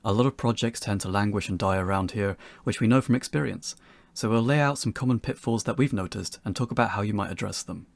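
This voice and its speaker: A man's voice: on the low side, fast, creaky, no room sound. A man narrating a scripted tutorial in an articulate way with wry phrasing. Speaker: game developer giving a tutorial.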